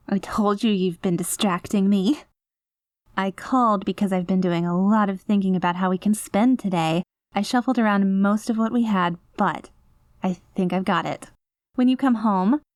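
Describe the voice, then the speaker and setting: A woman's voice: mid-range voice, a little mellow, dry recording, clean studio sound, natural voice. A woman playing an obsessive, clingy, doting girlfriend in an ASMR roleplay, rambling and gushing in an anxious, lovestruck way. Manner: gushing, rambling, with nervous giggles and breathy asides. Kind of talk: ASMR roleplay, acted voicemail monologue.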